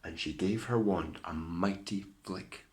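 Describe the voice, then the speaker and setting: A Scottish man tells a children's story in a lowish voice at a very slow, deliberate pace. His delivery is expressive and theatrical, dropping into hushed asides. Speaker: teacher reading a story to pupils.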